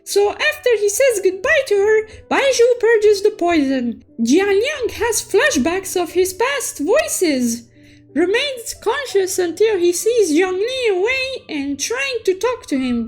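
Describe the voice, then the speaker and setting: A woman telling a game story recap as a childlike, excitable cartoon unicorn, playful and full of exclamations, with music behind her. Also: very high-pitched. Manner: playful, exclamatory, cutesy third-person persona. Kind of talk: animated storytelling recap with sound-effect exclamations. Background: background music.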